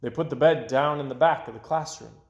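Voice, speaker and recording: A man reading a children's picture book aloud as an animated storyteller. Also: mid-range voice, a little fast. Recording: roomy, echoing sound.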